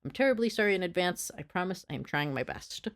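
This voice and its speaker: A woman with a low-pitched voice reads a prepared history lesson. She speaks earnestly and drops in small apologetic asides. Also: lively intonation, fast. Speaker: history video creator. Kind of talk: scripted educational narration.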